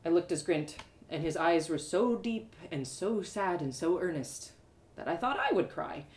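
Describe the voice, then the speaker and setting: A woman's voice: on the low side, animated, normal speed, darkish tone, echoey room. A woman storyteller reading first-person fiction aloud as a dramatic live narration. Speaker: author and storyteller.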